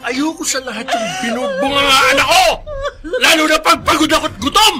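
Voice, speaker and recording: A man's voice: extremely high pitch, big pitch swings, brisk, over music. An actor playing an abusive, hot-tempered husband who browbeats his wife in a Filipino radio drama. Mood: enraged. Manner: shouting, browbeating.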